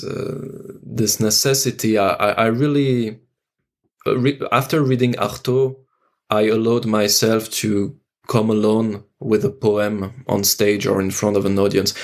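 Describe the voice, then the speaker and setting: Male interviewee, theatre actor, French accent, slightly deep register, reflective and halting delivery, feeling for each phrase. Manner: halting, searching for words. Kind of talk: unscripted reflective discussion.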